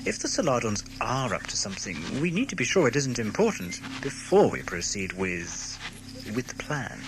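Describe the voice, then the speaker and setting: Cool male politician villain, actor, measured and scheming, expressive pitch, clear noise behind; audio drama. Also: natural voice. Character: cool, scheming male government minister, sinister authority.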